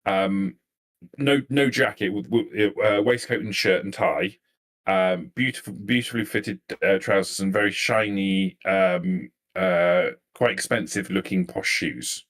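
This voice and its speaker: A man's voice: deep voice, measured pace, a bit bright. A man improvising narration as a game master, hesitant and repeating words as he searches for the right one.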